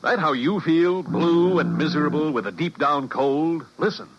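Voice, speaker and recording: A man reading an emphatic sales pitch as a commercial announcer on 1950s radio. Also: normal speed, noisy background. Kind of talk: 1950s radio advertising read. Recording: muffled vintage radio recording.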